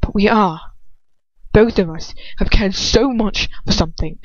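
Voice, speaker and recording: A woman's voice: medium pitch, measured pace, dry recording, close to an everyday voice. Woman as cruel aristocratic wizard man for an audiobook, bitter, muttering, hot-tempered; dull, dry sound. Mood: grim, intense. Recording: dull, lo-fi, dry home recording.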